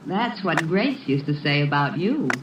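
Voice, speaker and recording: Smug catty lady, lowish swoopy voice, sugary mocking, old radio drama, muffled. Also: expressive, recorded from a distance. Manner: mocking, sugary condescension with drawn-out endearments. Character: catty, smug young woman taunting her former friend. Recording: muffled, noisy, roomy old radio recording.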